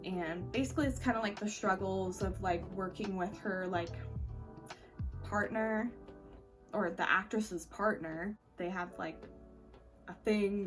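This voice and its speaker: A woman reviewing books in a casual, informal, conversational vlog style with lots of filler words. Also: mid-range voice. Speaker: book reviewer vlogger. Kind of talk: casual chatty monthly reading wrap-up. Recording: distant mic with music behind. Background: background music.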